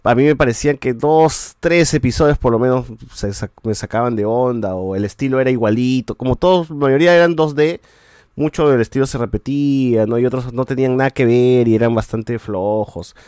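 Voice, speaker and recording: Podcast host, man, mid-pitched, rambling casual review, dry sound. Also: brisk, darkish tone, clean tone, no echo. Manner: rambling, restarts often, tags sentences with a questioning "right?".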